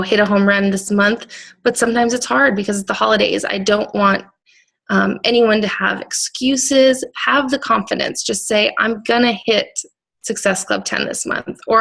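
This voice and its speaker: A woman's voice: medium pitch, creaky, a bit bright. A woman coach speaking as a guest on a video team call, giving an upbeat pep talk in short, punchy directives.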